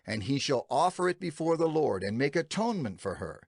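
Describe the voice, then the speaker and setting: A man reading scripture as a scripted audio Bible narrator, solemn and measured, with emphatic phrasing. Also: a little high, big pitch swings, creaky, darkish tone, slightly rough, no room sound, clean studio sound. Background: background music.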